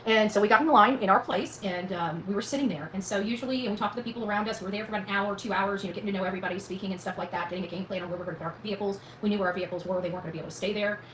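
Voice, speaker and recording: Woman, very fast talker, rambling casual storyteller, folksy and emphatic, echoey room, faint background noise. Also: mid-range voice, a bit bright. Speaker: vlogger recounting own experience.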